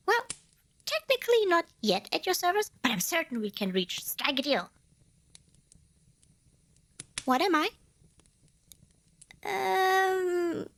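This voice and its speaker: A woman's voice: high voice, a bit bright, exaggerated voice. A woman playing a cute, eager and nervous little female demon in an ASMR roleplay, bubbly and over-polite with hesitant stammers. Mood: polite, sheepish. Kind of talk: ASMR roleplay acting addressed to listener.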